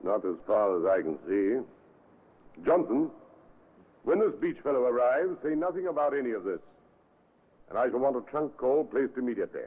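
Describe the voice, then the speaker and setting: An actor playing an authoritative police superintendent in an old radio crime drama, deliberate and commanding. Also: male voice, medium pitch, big pitch swings, husky. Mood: grave, commanding. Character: brisk, authoritative male British police superintendent. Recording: narrow muffled vintage radio recording.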